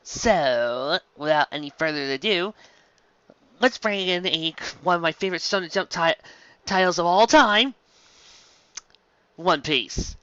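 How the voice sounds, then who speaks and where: A woman hosting and narrating a fan story, in an animated style. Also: lowish voice, a little slow, long pauses, clear, resonant tone, no echo, lo-fi sound. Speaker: host and story reader.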